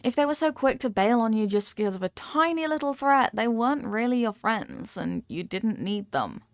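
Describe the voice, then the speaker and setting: A woman's voice: on the high side, very animated, moderate pace, no room sound, clean studio sound. A woman acting a possessive, manipulative rich young girlfriend in an intimate audio roleplay, talking down in a coaxing, teasing way with veiled threats. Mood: exasperated, possessive.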